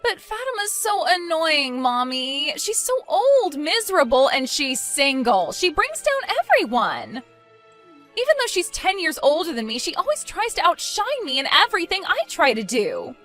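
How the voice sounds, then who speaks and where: A spoiled young bride in a dramatized family story, acted out by a woman. Her voice is high and swings widely as she whines and pleads petulantly, with music playing underneath. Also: huge pitch swings, normal speed, a bit bright, full character voice. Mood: whiny, self-pitying. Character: spoiled, whiny, self-centred young bride, pleading with mother.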